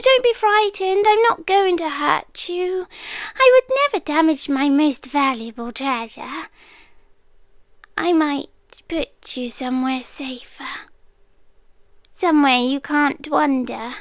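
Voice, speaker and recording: A woman's voice: high-pitched, no echo, studio quality. A British woman playing a centuries-old possessive monster lover in an ASMR roleplay, coaxing and sweetly menacing, often slipping into a whisper. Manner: slow, hushed, coaxing, often drops into whispers.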